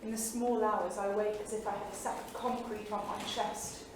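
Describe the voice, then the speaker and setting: A woman's voice: medium pitch, level tone, normal speed, close to an everyday voice. Woman acting intense 1930s wife, very echoey stage. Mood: troubled, lyrical. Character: intense, bold, restless wife in 1938. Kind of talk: staged reading, dramatic monologue. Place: small theatre studio. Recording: echoey theatre, distant mic, faint noise.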